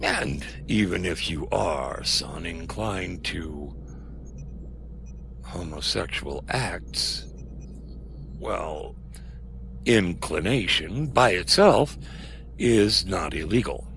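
Man playing a deep, gravelly, preachy official. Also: lively intonation, a bit bright, slight background noise, full character voice. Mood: gently menacing. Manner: slow, sermonizing, condescending. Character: menacing paternal male security official with a preacher's cadence. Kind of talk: audio drama acting.

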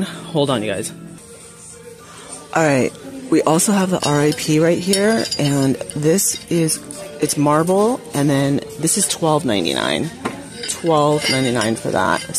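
A woman vlogging as she shops in a big home goods store, chatting casually to viewers with frequent gasps and excited exclamations. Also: a bass voice. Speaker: shopping vlogger. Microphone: phone camera held while shopping.

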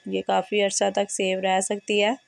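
Hoarse lady walking thru a recipe at home, gravelly and flat, dry close phone sound. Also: medium pitch, normal speed, no echo. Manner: steady step-by-step instructions, repeats measures. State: hoarse, has a fever. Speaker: cooking channel host. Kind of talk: home cooking tutorial. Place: home kitchen, indoors.

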